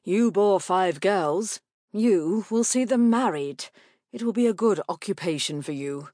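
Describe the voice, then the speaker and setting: Period gentleman father, acted by a female narrator: stern, cold, crisp and clipped, dismissive. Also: low-pitched, animated, brisk, no echo, clean studio sound. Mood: cold, scornful.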